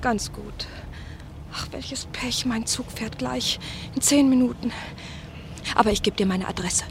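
An actress playing a nervous married woman reunited with an old friend, speaking in a hurried, anxious way. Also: fairly high pitch, slight background noise. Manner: hurried, anxious, breathy at times. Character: Eva, a nervous, married woman from his past. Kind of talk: radio play acting.

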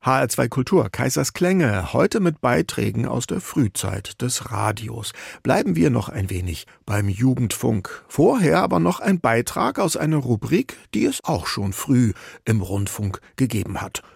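A man's voice: medium pitch, very animated, unhurried, vocal fry, few pauses, husky, dry recording, studio quality. A man presenting a radio programme with scripted narration.

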